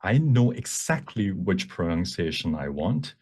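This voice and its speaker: Low-voiced man presenting a workshop. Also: big pitch swings, unhurried, a little mellow, husky. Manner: bilingual, switches between English and Cantonese. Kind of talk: live software demonstration, explanatory teaching.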